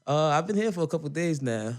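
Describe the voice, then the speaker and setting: A man's voice: a little high, animated, fast talker. A man from New Jersey chatting casually as a radio guest, slangy and repeating his phrases. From New Jersey, United States. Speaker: rapper guest. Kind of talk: casual hip-hop radio chat.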